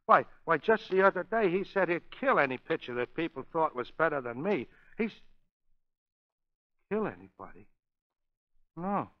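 An actor playing a dim, boastful, childlike baseball pitcher with uneducated tough-guy diction, in 1930s radio style. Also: big pitch swings. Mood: rattled, defensive. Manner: slow-witted, halting. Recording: muffled old 1930s radio recording.

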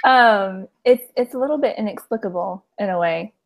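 A young adult woman hosting a casual video-call interview, speaking softly and agreeably through an earbud headset. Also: mid-range voice, moderate pace, very noisy. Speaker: host.

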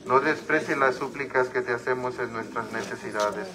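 A man with a Mexican accent leading rosary prayers at an outdoor street altar, reciting in a steady, even chant. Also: mid-range voice, normal speed, a crowd in the background. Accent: Mexican Spanish. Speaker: prayer leader. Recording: congregation voices around the mic.

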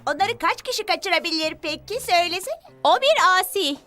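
A woman voicing a feisty, sarcastic, impatient teenage heroine in a cartoon dub, pushy and energetic. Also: very high voice, a little fast, music in the background. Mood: teasing, excited. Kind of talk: dubbed cartoon acting.

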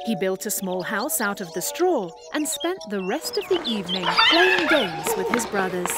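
A woman expressively narrating a scripted children's story as a storyteller. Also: medium pitch.

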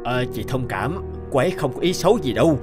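A man's voice: mid-range voice, animated, fairly quick, natural voice. An actor playing an earnest, considerate man in a moral cartoon drama. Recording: music under the voice.

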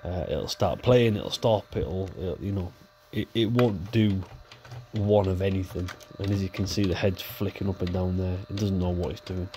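Low-voiced man, nasal from a cold. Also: normal speed, slight background noise. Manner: chatty, relaxed, talks while working with hands. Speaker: repair video host. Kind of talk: casual repair walkthrough.

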